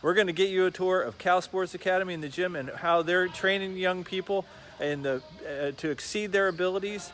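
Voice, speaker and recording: A man's voice: high voice, a little fast, fairly bright tone, a little hiss or hum. A man hosting a casual livestream interview in an upbeat, chummy way. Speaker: interviewer, real estate agent. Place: sports academy gym.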